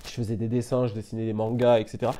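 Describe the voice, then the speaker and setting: A young man from France chatting casually as an interview guest, relaxed and meandering, full of fillers and "you know.". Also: medium pitch, rapid-fire, a little hiss or hum. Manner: relaxed, meandering, frequent "euh" and "tu vois". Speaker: interview guest, media founder.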